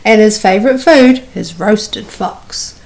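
A woman's voice: mid-range voice, slow, creaky, a little mellow. A woman voicing a small, polite but boastful mouse in a children's storybook. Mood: matter-of-fact boasting. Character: small, cheeky, confident brown mouse, polite trickster.

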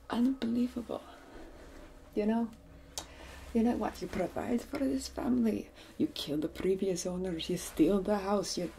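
A woman playing an ancient, haughty, aggrieved vampire in a comic ASMR roleplay, theatrical and breathy, often sinking into whispers. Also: mid-range voice, warm tone, slight background noise. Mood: indignant. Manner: theatrical, indignant, grumbling. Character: ancient, haughty, aggrieved seven-hundred-year-old vampire.

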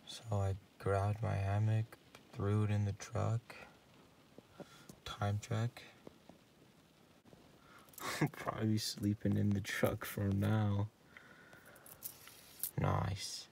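A young man vlogging a solo camping trip in a laid-back, deadpan way, talking to a handheld action camera from his hammock and his truck. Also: lowish voice, takes it slowly, gravelly, pauses often. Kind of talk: casual solo camping vlog. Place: outdoors in a hammock, then inside a truck cab. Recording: action camera with outdoor noise.

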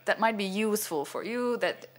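Older man, halting lecture, fillers, stand mic on a cinema stage. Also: sits high, lively intonation, fairly bright tone. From Venezuela. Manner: hesitant, many fillers and restarts, gestures broadly. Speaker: cinematographer giving a masterclass. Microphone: stand microphone.

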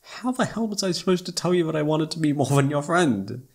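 Young male best friend played by a man, romantic audio roleplay. Husky with vocal fry, intimate, emotional, halting, self-reproachful. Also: a little high, animated. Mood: regretful.

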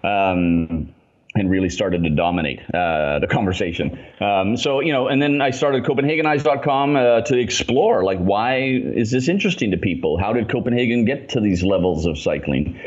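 A man in his forties from Canada, talking about his work in a relaxed, chatty way with many fillers. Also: on the low side, normal speed, dull audio. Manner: relaxed and chatty, lots of fillers, laughs easily. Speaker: guest, urban design consultant. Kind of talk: casual interview chat.

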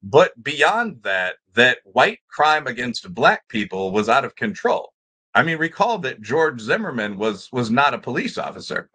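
Middle-aged man, sharp forceful tone, lively intonation, academic panelist, discursive and emphatic, unscripted, video call. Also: mid-range voice, breathy edge. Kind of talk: extemporaneous panel discussion argument. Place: indoor room with bookshelves, on a video call.

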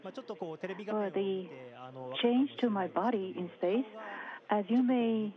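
A woman giving a simultaneous interpretation, slow and unhurried. She hesitates often and fills gaps with "uh". The audio is narrow and tinny, like a phone call. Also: medium pitch. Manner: hesitant, many uh fillers and restarts. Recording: phone-like narrow-band feed.

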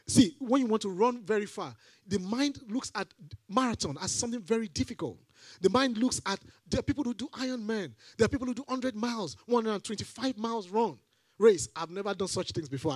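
A middle-aged preacher talks into a handheld mic from a church stage, his voice pitched high for a man. He swings into emphatic repeated lines as he drives his points home. The sound is close and dry, with little room echo. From Nigeria. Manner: animated, rising to emphatic repeated phrases. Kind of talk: sermon.

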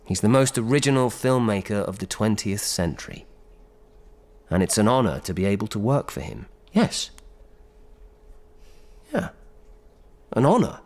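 An actor playing a devoted, earnest film director's assistant in a radio drama, reflective and halting. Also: male voice, on the low side, sing-song, darkish tone. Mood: earnest devotion. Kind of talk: naturalistic radio drama monologue and dialogue.

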